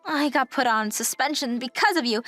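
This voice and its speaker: A woman performs a haughty young travel agent in a manga-style drama, high-voiced. She is petulant and defensive, turning whiny when cornered. Faint music runs behind her. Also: lively intonation, normal speed, a bit bright, no echo. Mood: whiny, blaming. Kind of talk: anime-style manga dub acting.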